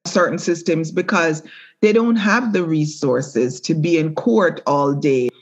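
A middle-aged woman, a former prosecutor and judge, speaking earnestly and in a measured way in an interview. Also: low-pitched, lo-fi sound. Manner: earnest, measured, with repeated restarts. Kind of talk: reflective interview talk.

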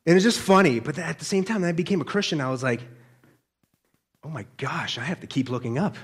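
A man's voice: fairly high pitch, fast, slightly rough. A man giving an informal, conversational sermon as a preacher, colloquial and often checking in with listeners.

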